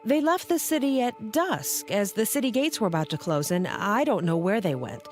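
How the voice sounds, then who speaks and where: A woman's voice: mid-range voice, lively intonation, normal speed, faint music behind, natural voice. A woman playing Rahab, an anxious, pleading woman of ancient Jericho, in a dramatized audio Bible. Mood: urgent.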